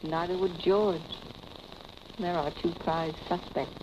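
Composed young wife, noisy old film. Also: female voice, fairly low pitch, fairly even intonation, takes it slowly, dull audio. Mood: pensive, composed. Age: young adult. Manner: composed, direct. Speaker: film actor. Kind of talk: 1940s film acting. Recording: noisy vintage film soundtrack.

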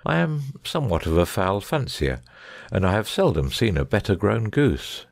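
A man's voice: lowish voice, big pitch swings, normal speed, creaky, no room sound, clean studio sound, exaggerated voice. A man playing a shrewd, self-assured detective in a dramatised audiobook, speaking precisely and formally. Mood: courteous, probing.

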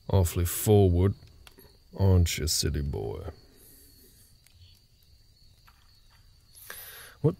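Gruff, guarded cowboy ranch hand male actor, audio roleplay. Low and gravelly, terse, folksy. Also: warm tone, full character voice. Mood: gruff, teasing. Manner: gruff, terse, rustic folksy drawling phrasing. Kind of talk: intimate roleplay audio drama. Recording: nighttime cricket ambience behind voice. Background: crickets.

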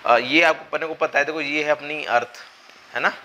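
A young man with a slightly hoarse voice from a cold, giving a whiteboard lecture to exam students on a lapel mic, explaining step by step with frequent check-ins. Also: on the high side, steady tone, moderate pace, sharp tone, a little hiss or hum. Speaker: exam coaching teacher. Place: classroom at a whiteboard, indoors.

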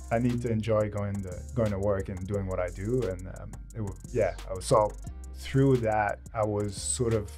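A man's voice: fairly low pitch, darkish tone. A man in his thirties from Canada, chatting casually as a podcast guest with a loose, rambling manner and many fillers, on a close studio mic in a small home room. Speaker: podcast guest, sports videographer. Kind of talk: casual interview chat. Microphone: studio microphone on a boom, close.

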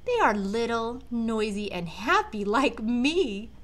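A woman's voice: on the high side, sing-song, slow pace. A woman voicing a small, cheerful Christmas ornament from a storybook, exclaiming with eager wonder. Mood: gleeful. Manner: exclaiming, wide-eyed and eager.

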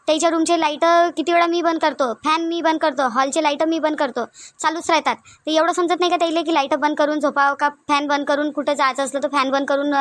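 A domineering cartoon mother-in-law played by a woman rattles off her complaints at high pitch in a Varhadi Marathi accent. She is thrifty and short-tempered, nagging with question after question in a dry, close recording. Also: fairly bright tone, no room sound. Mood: irritated. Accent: Varhadi Marathi (Vidarbha). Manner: nagging, repetitive rhetorical questions. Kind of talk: comedic cartoon dialogue.

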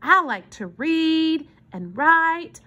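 A woman's voice: extremely high pitch, big pitch swings, drawn-out words, sharp tone, muffled recording, voice pushed up, heavily acted. A woman voicing an earnest, polite and hopeful little girl in a storybook, with an eager, pleading manner.

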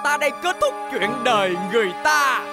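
Give the gloating umbrella villain of a children's cartoon. He is theatrical and boastful, rolling out chanted rhymes over loud music. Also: extremely high pitch, sing-song, brisk, vocal fry, full character voice. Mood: gloating menace. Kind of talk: animated cartoon dub acting.

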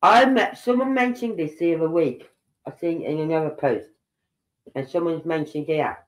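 A man's voice: a little high, moderate pace, poor audio. An older man with a congested nose, musing aloud as he hosts a casual true-crime livestream from home. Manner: rambling, musing aloud, breaks off mid-sentence. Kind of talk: casual livestream commentary reading posts aloud.

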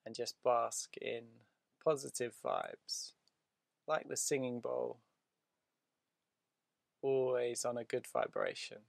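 A man leading a guided meditation in a soothing, deliberate way, leaving drawn-out pauses between short phrases. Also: medium pitch, fairly even intonation, gravelly, no echo, studio quality.

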